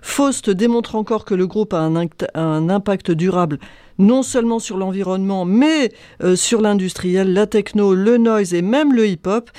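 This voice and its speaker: A woman's voice: medium pitch, normal speed, dark tone, no echo. A woman presents a music column on the radio in a chatty, self-mocking way that often tips toward giggles. Her throat sounds a little congested. The sound is close and dry. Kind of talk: radio music chronicle, playful.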